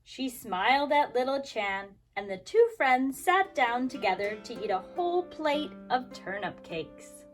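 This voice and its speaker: A woman with a high voice talks to young children as their teacher. She is expressive and keeps her phrasing simple, whether reading a storybook or explaining a craft. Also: unhurried, hardly any pauses, faint music behind. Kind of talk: storybook read-aloud and craft instructions for children.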